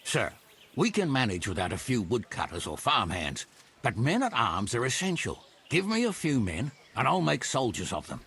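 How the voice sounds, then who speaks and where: An actor playing an earnest, worried medieval garrison soldier who speaks urgently and deferentially in a video game. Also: male voice, medium pitch, huge pitch swings, moderate pace, a bit bright, breathy edge, exaggerated voice. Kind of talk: video game cutscene acting.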